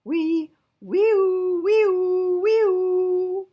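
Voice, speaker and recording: A woman voicing a wailing fire truck siren for a children's storybook, loud and repetitive. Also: steady tone, drawn-out words, muffled recording, heavily acted. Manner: high, loud, repeated wailing.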